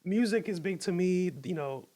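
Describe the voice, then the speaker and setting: A man's voice: high-pitched, moderate pace, warm tone. A young man with a New York accent, a podcast guest talking openly in a casual, slangy way. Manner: open, earnest, slangy with many likes.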